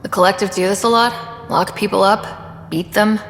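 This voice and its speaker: A woman's voice: medium pitch, fairly even intonation, normal speed, reverberant, big-room sound, a little hiss or hum, close to an everyday voice. An actress playing a resolute, direct female space explorer in a video game. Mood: stern, challenging. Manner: direct, firm, matter-of-fact.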